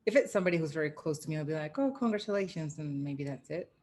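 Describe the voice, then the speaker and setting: A woman with a low voice chats casually as a podcast co-host. There is faint noise behind her conversational delivery. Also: lively intonation, quick pace, darkish tone. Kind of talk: casual conversational panel chat.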